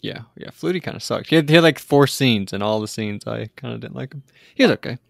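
A man's voice: medium pitch, lively intonation, quick pace, creaky, dry recording, studio quality. A man co-hosting a podcast, recapping in a loose, rambling, informal way. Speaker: podcast co-host. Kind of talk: casual film and TV recap chat.

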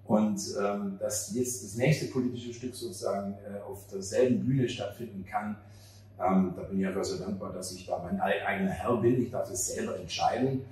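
Dark, low-voiced middle-aged man, very echoey. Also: moderate pace. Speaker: theater director being interviewed. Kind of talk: conversational interview answers. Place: outdoor café table on a street at night. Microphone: handheld interview mic held by interviewer. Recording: very roomy, distant mic, faint ambient noise.

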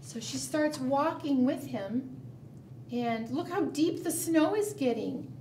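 Slow-talking woman storyteller, roomy sound. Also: sits high. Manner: simple, expressive, asks the listeners questions. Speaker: children's librarian and storytime host. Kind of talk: picture-book storytelling for preschoolers. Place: indoor room, book shown to camera. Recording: roomy, distant mic, background noise.

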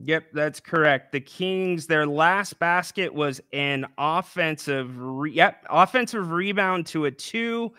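A man's voice: a little high, sharp tone, clear, resonant tone, no room sound, studio quality. A young man co-hosting a casual postgame basketball show, speaking into a podcast microphone in a small room with an upbeat, promotional manner. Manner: upbeat, promotional, brisk play-by-play recap. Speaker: co-host. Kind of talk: casual postgame sports chat. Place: small room with a plant and a banner. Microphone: podcast microphone on a boom arm.

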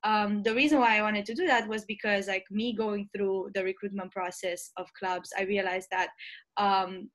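A woman's voice: mid-range voice, steady tone, normal speed, a bit bright. A young woman from Romania in her early twenties, speaking in an interview over a video call with earbuds, thoughtful and full of ums and restarts. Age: young adult. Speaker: interview guest, student leader. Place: bright room at home.

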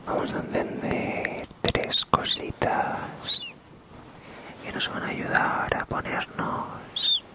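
A man with an accent from Spain whispering an ASMR guided meditation in a soft, soothing, drawn-out way. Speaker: ASMR channel host.

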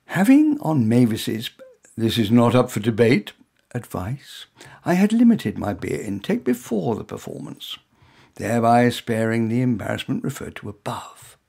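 A man's voice: medium pitch, big pitch swings, moderate pace, gravelly, darkish tone, slightly rough. An English man reading his own humorous first-person short story in a wry, droll way, with conversational asides.

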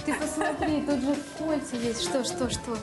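A woman's voice: high voice, moderate pace. A woman, reacting casually and gushing in short exclamations as a guest. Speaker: guest, homeowner and mother. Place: indoors in a furnished room. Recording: echoey room under loud music.

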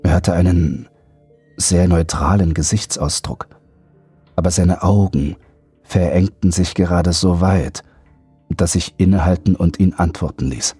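Horror audiobook narrator, male, very low and dark mellow voice, faint music underneath. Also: fairly even intonation, a little slow, dry recording. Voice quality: deep, husky, mellow. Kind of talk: scripted first-person horror narration.

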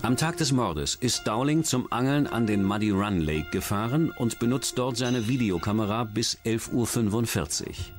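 Man, documentary narrator, lower-pitched voice, music playing underneath. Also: lively intonation, normal speed, few pauses. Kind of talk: scripted true-crime narration.

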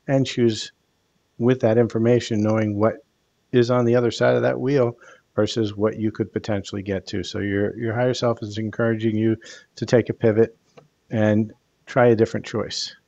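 An older man hosting a live card reading over a headset, speaking in a calm, soothing, unhurried way. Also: on the low side, no room sound, muffled recording. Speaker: tarot card reader.

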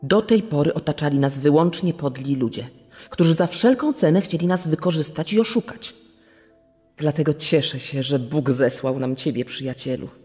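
Man acting husky, grateful wealthy gentleman in reverb. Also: a little high, normal speed. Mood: grateful, earnest. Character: grateful, trusting wealthy husband befriending a guest. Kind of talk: dramatized quote in a true-crime podcast. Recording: long reverb, music underneath. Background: music.

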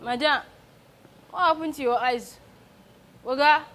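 An actress with a Nigerian accent playing a blabbing, theatrical housemaid in a comedy skit. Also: female voice, sits high, a little slow, background noise. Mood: mock earnest. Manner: theatrical, repetitive, exclaiming. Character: blabbing, dramatic female housemaid telling on her madam. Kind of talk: broad comedy skit acting.

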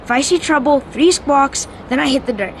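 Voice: high voice, lively intonation, a little fast, slight background noise. An actor playing a cautious, reluctant young companion in an audio drama, nervous and terse. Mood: wary.

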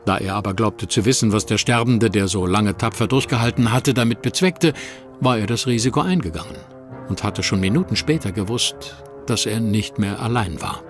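A man's voice: fairly low pitch, normal speed, faint music behind. Man narrating a radio play. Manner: steady, dramatic.

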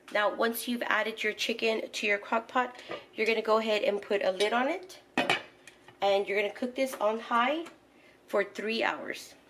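A woman's voice: on the high side, fairly bright tone. Middle-aged woman, friendly home-cook host explaining a recipe step by step, kitchen recording with light noise. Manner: instructional, walks through each step with fillers. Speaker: home cooking channel host. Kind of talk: casual step-by-step cooking narration.

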